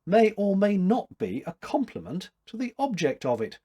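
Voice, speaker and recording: A man's voice: high voice, huge pitch swings, moderate pace, dark tone, breathy edge, pitched above the performer's own voice, exaggerated voice. A man voicing a stately older woman in a storybook, blunt and teasing, with formal, arch wit.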